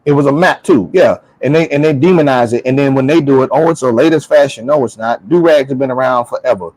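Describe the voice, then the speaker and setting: A man's voice: mid-range voice, fast, a little mellow, dry recording, rough recording. A man talking casually and with strong opinions, emphatic and repeating phrases for stress. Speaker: talk show speaker.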